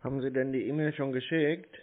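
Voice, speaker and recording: A man's voice: medium pitch, fairly even intonation, fairly quick. Dry, stubborn man on a phone. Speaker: scam-baiter posing as customer. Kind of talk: casual phone conversation. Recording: muffled narrow-band phone line.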